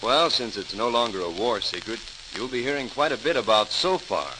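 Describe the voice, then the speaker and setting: An actor playing a cheerful, earnest young Navy lieutenant in a 1940s radio drama. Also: male voice, mid-range voice, fairly quick, natural voice. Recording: hissy, crackling 1940s radio recording.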